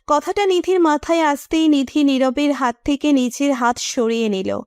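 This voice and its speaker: A woman's voice: high voice, normal speed, no room sound, studio quality. A woman narrator reading a scripted romantic story.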